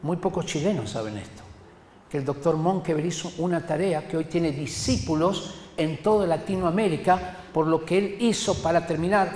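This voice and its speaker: An older man from Argentina, preaching a sermon in an emphatic, deliberate manner and addressing his listeners directly. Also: a little high, darkish tone, a little hiss or hum. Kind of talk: sermon to a congregation. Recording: echoey hall with long reverb.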